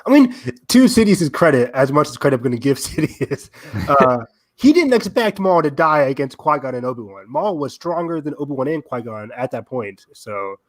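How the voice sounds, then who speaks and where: A man hosts a relaxed fan podcast with an enthusiastic, chatty delivery. His voice sits at an ordinary male pitch, and he talks in a conversational, agreeable way. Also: normal speed. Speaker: podcast host. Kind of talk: casual fan discussion.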